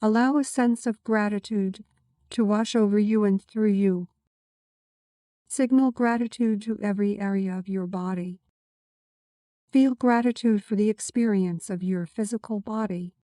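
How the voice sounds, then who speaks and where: A woman narrating a scripted guided meditation in a soothing, measured, instructive way. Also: medium pitch, fairly even intonation, mellow, dry recording.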